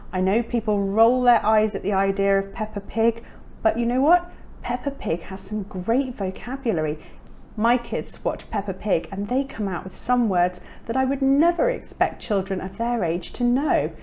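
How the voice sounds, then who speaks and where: British woman in her thirties, upbeat English teacher, mellow tone, faint hiss behind. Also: medium pitch. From United Kingdom. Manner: upbeat, emphatic repetition. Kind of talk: scripted lesson to camera.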